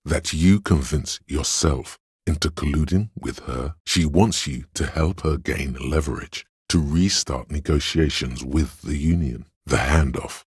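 An extremely low, husky voice of pure logic speaks inside the player's head in a video game. It is gravelly and very dryly recorded, with no room around it. The delivery is deliberate, analytical and condescending. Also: male voice, no room sound, heavily acted. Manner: measured, deliberate, dry, superior. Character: inner voice of cold logic, a man's analytical, condescending mind.

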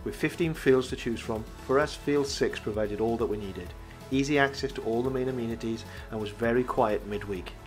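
A man reading a scripted campsite review voice-over in an even, measured way. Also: mid-range voice, over music.

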